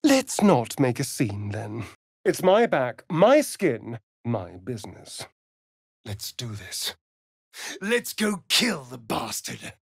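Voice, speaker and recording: A man's voice: mid-range voice, huge pitch swings, slow pace, gravelly, husky, no room sound, clean studio sound, exaggerated voice. A man with an English accent playing a vain, sardonic vampire rogue in a video game, with an arch, mocking, drawling delivery. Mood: wry amusement, then cold, vengeful. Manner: arch, drawling, mocking, swinging between purr and outburst. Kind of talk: video game character voice lines.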